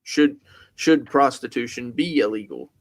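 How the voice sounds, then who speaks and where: A man with a mid-pitched voice who speaks slowly and deliberately while hosting a casual panel. He teases the guests, then puts measured questions to them.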